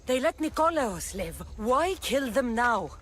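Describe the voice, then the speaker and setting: A woman's voice: a little high, very animated, measured pace, a little hiss or hum. An actress voicing a determined young female mercenary hero, firm and earnest in a video game cutscene. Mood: resolute. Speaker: game protagonist. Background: music.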